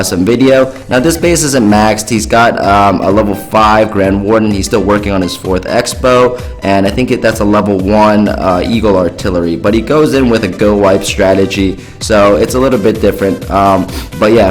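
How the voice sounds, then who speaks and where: A hoarse young man with a raspy voice, chatting casually as he commentates replays from a mobile strategy game. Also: fairly low pitch. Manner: chatty, filler-heavy, upbeat. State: hoarse, losing his voice. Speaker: gaming YouTuber.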